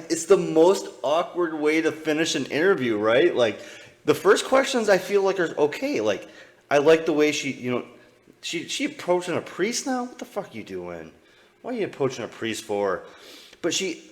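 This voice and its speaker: A young man from the American Midwest with a Midwestern accent, rambling loosely and swearing as he comments on old clips during a live stream. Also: fairly high pitch.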